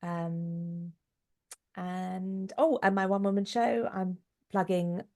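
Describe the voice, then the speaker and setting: A middle-aged woman giving a casual interview, telling stories in a chatty, animated way. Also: lowish voice, slow. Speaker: interview guest, actress and director.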